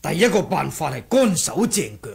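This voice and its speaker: Husky, cunning warrior monk. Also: male voice, a little high, sing-song, full character voice. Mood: calm scheming. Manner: deliberate, sly bargaining. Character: cunning martial monk who feigned muteness, scheming and composed. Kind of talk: Cantonese 1980s radio drama acting.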